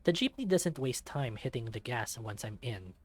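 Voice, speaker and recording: A man reading a visual novel aloud as an expressive narrator. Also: mid-range voice, normal speed.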